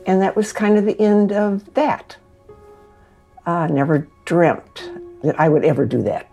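A woman in her eighties with an American accent, telling her own story with pauses. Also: deep voice, warm tone. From Kansas City, United States. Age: 80s. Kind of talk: personal storytelling.

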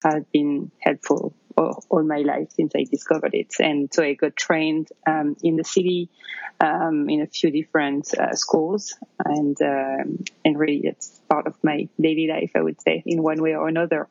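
French woman, thirties, halting speech full of fillers, lowish voice, dull dry call audio. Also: little pitch movement, gravelly, a little mellow, breathy edge, no echo. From France. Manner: halting, many fillers and restarts. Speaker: interview guest. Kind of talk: casual interview.

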